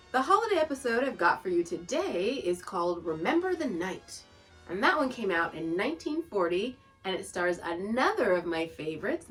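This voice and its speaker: A woman's voice: fairly high pitch, a bit bright, far from the mic, low-quality recording. A woman hosting a podcast, casually explaining and introducing shows in an informative way. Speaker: podcast host.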